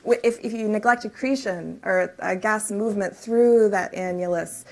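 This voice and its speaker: A woman's voice: mid-range voice, vocal fry, darkish tone. Woman giving a technical science talk. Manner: explains in measured technical steps with fillers. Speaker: research speaker. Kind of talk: conference talk and Q&A. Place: lecture room.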